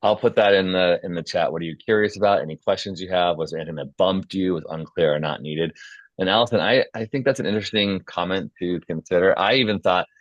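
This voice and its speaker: Casting: male moderator, deep voice, informal and encouraging, dull call audio. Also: fast, no room sound. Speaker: host moderating a script feedback session. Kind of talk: casual facilitation and critique. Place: online video call.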